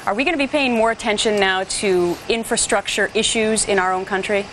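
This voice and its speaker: American woman, broadcast news interviewer, medium-pitched voice, slight noise on the recording. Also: brisk, fairly bright tone, low-quality recording. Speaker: television news anchor, interviewer.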